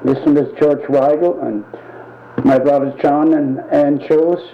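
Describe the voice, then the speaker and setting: An older man answers questions in short, plain phrases, often repeating a quick yeah. His voice is gravelly. The recording is dull and faintly hissy, with an echoey room around him. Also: on the high side, fairly even intonation. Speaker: interviewee. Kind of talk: oral history interview.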